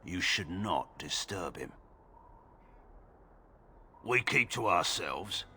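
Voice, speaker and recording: A man's voice: deep voice, expressive, slow, bright, forceful tone, a little hiss or hum, exaggerated voice. A man playing a suspicious, unwelcoming village guard in a video game, curt and formal. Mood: dismissive.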